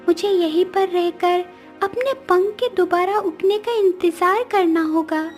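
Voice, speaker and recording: A very high-pitched little cartoon bird, kind and earnest, always reassuring and ready to advise. Soft music plays underneath the voice. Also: female voice, a little slow. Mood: gentle, advising. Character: small kindly talking female bird, helpful and reassuring. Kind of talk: children's cartoon story character voice.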